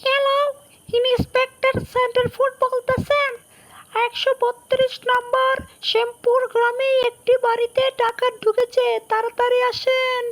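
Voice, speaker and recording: A man's voice: extremely high pitch, completely flat delivery, moderate pace, a bit bright, soft background music, low-quality recording. A man voicing a feisty, loyal little guard animal in a comic cartoon, indignant and urgent. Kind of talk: comic cartoon dubbing in Bengali.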